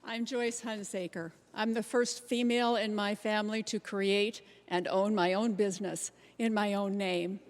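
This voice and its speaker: A woman's voice: mid-range voice, steady tone, moderate pace, few pauses, a little hiss or hum. An American woman performing a scripted reading in a readers theater. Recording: reverberant hall recording.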